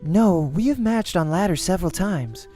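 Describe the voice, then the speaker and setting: A man acts out a young female pro gamer from a visual novel. She is reserved and blunt, choosing formal words and delivering them quietly and precisely, with music playing behind her. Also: high voice, expressive, moderate pace, voice pushed up. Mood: blunt, critical.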